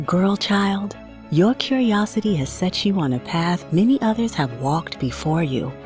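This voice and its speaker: A woman giving scripted, solemn narration for an inspirational video, speaking slowly and earnestly. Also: on the low side, lively intonation. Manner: slow, solemn, earnest delivery. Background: soft music.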